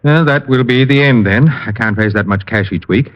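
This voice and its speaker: An actor playing a fussy, formal gentleman, flustered and anxious, in an old radio drama. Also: mid-range voice, moderate pace, lightly acted. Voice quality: clicking false teeth. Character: fussy, anxious, formal male blackmail victim. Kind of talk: 1950s radio drama acting. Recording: dull old radio recording.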